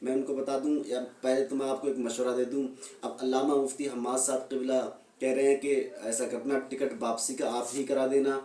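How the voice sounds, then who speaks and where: A man's voice: mid-range voice, level tone, brisk, mellow. A man giving informal religious commentary and admonishment, earnest and repeating his phrases for emphasis. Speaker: Islamic religious commentator addressing viewers. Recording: echoey room, distant microphone.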